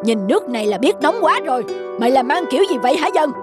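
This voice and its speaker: A woman voicing a pompous, bossy fat master of the house in an animated folktale. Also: sits high, fast talker. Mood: bossy, irritated. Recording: music behind the voice.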